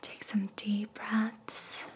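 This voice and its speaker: A woman doing ASMR as a reiki guide, speaking in a soft, breathy whisper with a gentle, soothing manner. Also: mid-range voice, monotone, very slow.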